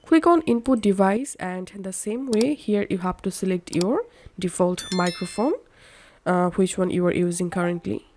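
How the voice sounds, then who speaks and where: Female tutorial host, medium pitch, instructional step-by-step voice-over with slightly halting phrasing. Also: a little mellow, slight background noise.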